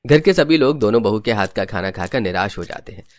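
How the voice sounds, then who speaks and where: A man's voice: fairly low pitch, animated, fairly quick, dry recording, clean studio sound. A man reading scripted storybook narration for a cartoon. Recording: music under the voice.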